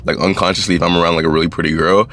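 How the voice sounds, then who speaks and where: A man's voice: sits low, level tone, racing, fairly bright tone. A young man giving casual advice straight to camera in a laid-back, chatty way, talking to his viewers as guys. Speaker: YouTube creator.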